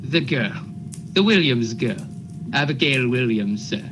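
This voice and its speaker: A man's voice: medium pitch, animated, unhurried, close to an everyday voice. A man playing an earnest, officious court officer with a rustic, formal manner in a radio play. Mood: alarmed. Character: Cheever, earnest, officious male court officer serving warrants.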